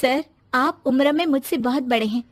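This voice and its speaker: High-voiced, firm young woman, acted by a narrator. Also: normal speed. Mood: indignant. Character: confident young married NGO working woman, firm yet polite.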